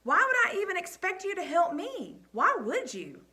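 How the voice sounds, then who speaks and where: A woman's voice: very high voice, huge pitch swings, normal speed, sharp tone, voice pushed up, exaggerated voice. A woman reading aloud, voicing a desperate teenage boy who pleads with a breaking voice, ashamed and defiant at once. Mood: angry.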